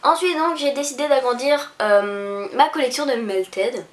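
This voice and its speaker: A young woman, chatting casually through a beauty haul, full of pauses and restarts. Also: sits high, slight background noise. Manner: hesitant, many fillers and restarts. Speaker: beauty vlogger. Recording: echoey room.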